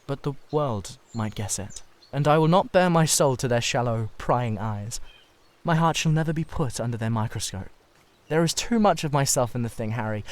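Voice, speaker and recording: A man's voice: medium pitch, close to an everyday voice. A man voicing an intense, idolising painter who speaks in an earnest, confessional way for a dramatised storybook reading. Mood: guarded, troubled. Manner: fervent, halting, confessional. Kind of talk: dramatised literary audiobook reading.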